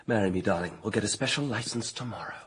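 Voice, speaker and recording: An actor playing an impulsive young suitor, pleading and breathy, in a radio mystery drama. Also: male voice, on the low side, quick pace, fairly bright tone. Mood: ardent, pleading. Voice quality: husky, breathy.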